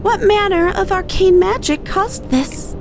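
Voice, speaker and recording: A small game spirit in an extremely high voice. The creature sounds innocent and curious, speaking in formal, wondering phrases. Music plays clearly underneath. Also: female voice, measured pace. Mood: wondering, grateful. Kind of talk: game dialogue read-aloud. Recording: music behind the voice.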